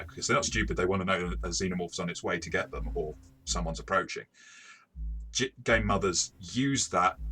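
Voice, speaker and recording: A man hosting a casual game review discussion, talking haltingly with repeated words and chuckling as he goes. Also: low-pitched, fairly bright tone. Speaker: host, game master reviewing tabletop RPGs.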